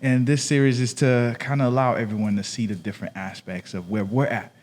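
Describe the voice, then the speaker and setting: A man with a medium-pitched voice co-hosts a podcast. He is from Haiti and speaks in a casual, conversational way. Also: flat delivery, a little fast, a little mellow. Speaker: podcast co-host.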